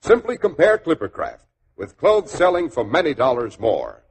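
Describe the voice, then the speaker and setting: A man's voice: a little high, huge pitch swings, moderate pace. An American man announcing and reading advertisements in a polished, emphatic 1940s radio style. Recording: noisy old 1940s radio recording.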